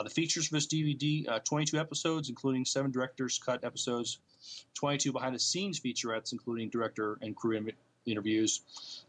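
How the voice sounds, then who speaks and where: A man co-hosting a podcast, reading out notes and chatting casually with a halting, hesitant delivery. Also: medium pitch, fairly bright tone. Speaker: podcast co-host.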